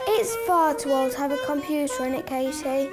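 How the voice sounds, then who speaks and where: A woman's voice: high voice, brisk, loud music behind the voice, lightly acted. A woman playing a keen, curious schoolgirl friend in a radio play.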